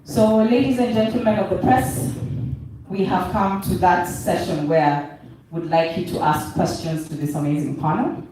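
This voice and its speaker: A woman's voice: lowish voice, fairly even intonation, normal speed, mellow. Echoey, distant woman hosting an event. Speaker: event host. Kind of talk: formal event hosting, ad-lib directions. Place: large indoor hotel event room. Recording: echoey venue, distant microphone, faint noise.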